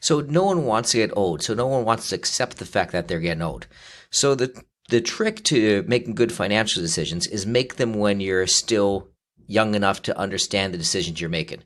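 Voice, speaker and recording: Low-voiced man in his fifties, steady. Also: moderate pace. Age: 50s. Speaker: financial advisor. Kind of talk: conversational interview.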